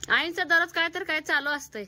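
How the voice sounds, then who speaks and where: A woman's voice: extremely high pitch, brisk, noisy background. A woman with a rural Maharashtrian Marathi accent, chatting in a homely, colloquial way as she narrates a family vlog. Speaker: family vlogger. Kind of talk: casual home vlog, chatty cooking narration.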